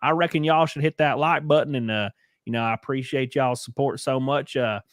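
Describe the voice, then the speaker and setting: A man's voice: medium pitch, brisk, no room sound, close to an everyday voice. Guy doing a hammy country boy streamer, thick drawl, dry close sound. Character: over-the-top Southern country-boy streamer, young man.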